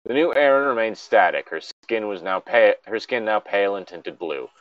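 Low-voiced irreverent man, dull dry mic. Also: lively intonation, moderate pace, no echo. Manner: irreverent, sweary. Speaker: co-host reading stories aloud. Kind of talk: casual reading aloud with joking commentary.

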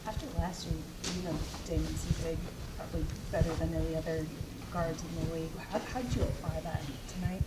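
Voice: medium pitch, steady tone, fairly quick, hardly any pauses, heavy background noise. A reporter asking plain post-game questions in a locker room. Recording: distant mic in loud locker room.

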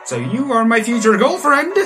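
A man in his late teens hosting a let's play, reading visual novel text aloud and breaking into animated asides. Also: very high voice, normal speed, faint music behind. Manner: animated asides, exclaiming between read passages. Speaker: gaming channel host. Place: small room indoors at home.

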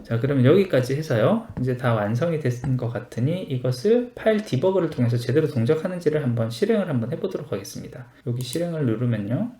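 A man narrating a step-by-step software tutorial in a polite, explanatory manner. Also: medium pitch, lively intonation, fast, few pauses, reverberant, slight background noise. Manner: explanatory, polite formal endings.

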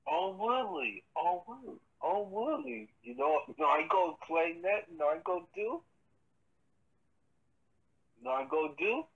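A person from Florida improvising repetitive, chanting raps and bickering in a halting way. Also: mid-range voice, expressive, gravelly. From Tampa, Florida. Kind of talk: improvised rapping and bickering in a home-made prank series. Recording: dull lo-fi audio with music, radio-like.

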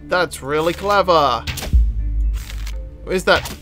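A man with a slightly high voice gives casual video game commentary at an unhurried pace. He is jokey and self-mocking, given to exclaiming and chatting to the game's characters. Music plays clearly behind him. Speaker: gaming video host.

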